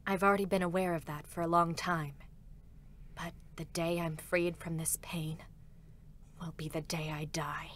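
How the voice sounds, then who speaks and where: A woman's voice: sits low, flat delivery, normal speed, a little hiss or hum, lightly acted. A woman voicing a stoic female combat android in a dramatic reading, formal, serious and restrained, with sorrow held back. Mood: pained, sorrowful.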